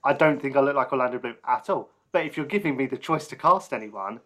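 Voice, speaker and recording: A man hosting a podcast interview in a relaxed, friendly conversational style. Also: medium pitch, fast. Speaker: podcast host. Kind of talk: casual interview chat.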